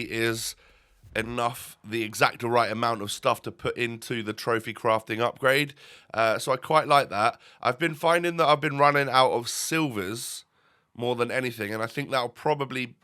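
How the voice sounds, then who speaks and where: A young man talks over his gameplay in a loose, rambling way, full of ums and restarts. His voice is bright and nasal from a stuffy nose, with a congested edge. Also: medium pitch, clear, resonant tone. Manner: rambling, many fillers and restarts. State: stuffy, bunged up. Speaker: gaming YouTuber. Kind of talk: casual gameplay commentary.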